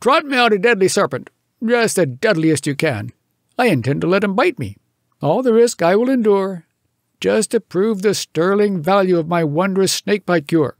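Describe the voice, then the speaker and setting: A man acts out an excitable bush settler full of grand schemes. The voice is pushed high and swings widely as he boasts and declaims in a comic storytelling poem. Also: moderate pace, no echo, studio quality. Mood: eager, insistent.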